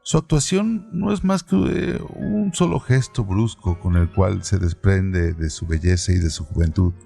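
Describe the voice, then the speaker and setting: A man narrating a novel aloud in a calm, deliberate scripted reading. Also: low voice, creaky, soft background music.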